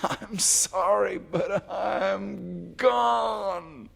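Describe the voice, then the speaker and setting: A man reading a story and voicing giddy young American soldiers yelling in wild exultation as they escape war. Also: high-pitched, extremely slow, creaky, husky, voice pushed up, exaggerated voice. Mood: giddy release.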